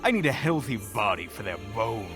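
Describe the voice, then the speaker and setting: An actor playing a vain, easily flustered evil sorcerer in a fantasy audio drama, pompous and grandiose with dramatic pauses. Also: male voice, lowish voice, sing-song, a bit bright, soft background music. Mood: menacing, mocking. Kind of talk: fantasy audio roleplay acting.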